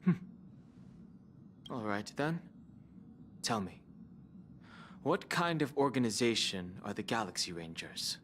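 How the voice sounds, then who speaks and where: An actor playing a skeptical, formal male interrogator in a video game, speaking in a measured, distrustful way. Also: lowish voice, very animated, fairly bright tone, slight background noise, heavily acted. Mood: wary. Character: stern, skeptical, formal male interrogator guarding his crew.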